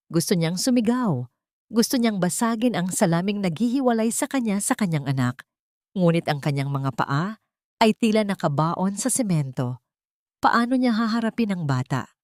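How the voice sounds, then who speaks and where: A woman's voice: lowish voice, a little mellow, dry recording, clean studio sound. A woman reading a dramatic story as an audiobook narrator in a solemn, melodramatic manner. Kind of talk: dramatic scripted narration of a Tagalog love story.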